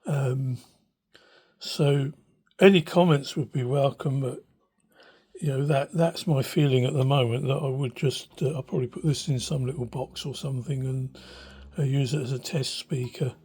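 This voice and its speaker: An older man with a husky voice talks through a hobby demonstration. His delivery is unscripted and thoughtful, with hesitations as he works out what he wants to say. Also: medium pitch, fairly even intonation, moderate pace, darkish tone. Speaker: hobbyist radio restorer. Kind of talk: informal spoken demonstration, unscripted. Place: small cluttered room indoors. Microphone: handheld phone behind the camera.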